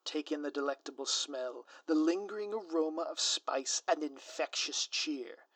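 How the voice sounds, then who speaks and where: A man playing a scheming, theatrical supervillain in a scripted ASMR audio roleplay, with a pompous, florid, drawn-out delivery. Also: fairly high pitch, lively intonation, fairly bright tone, no echo. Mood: grandiose, gloating. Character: theatrical scheming male supervillain, gloating, menacing, easily flustered.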